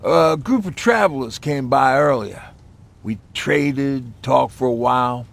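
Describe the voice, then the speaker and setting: A wry, folksy mechanic man for a game drama. His voice is gravelly and husky, sitting at a middling pitch, and he talks casually. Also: measured pace. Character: easygoing, wry resistance mechanic. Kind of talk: video game dramatic acting.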